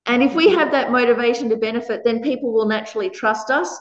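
A woman with a loud voice, giving a frank Buddhist dharma talk to an audience and laughing often between points. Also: fairly high pitch, fast talker. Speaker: Buddhist teacher. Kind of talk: dharma talk answering questions. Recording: roomy, slightly dull recording.

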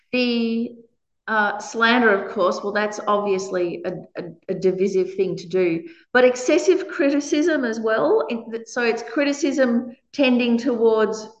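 Aussie woman giving a dharma talk, big loud voice, laughs a lot, roomy echoey room, kinda dull sound. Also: mid-range voice. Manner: frank, laughs often between points. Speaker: Buddhist teacher. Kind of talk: dharma talk answering questions. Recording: roomy, slightly dull recording.

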